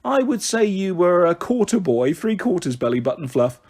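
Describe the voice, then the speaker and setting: A man's voice: sits high, sing-song, moderate pace, darkish tone, pitched above the performer's own voice, full character voice. A man voicing an exasperated, fussing mother who scolds her children in a children's storybook. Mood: scolding.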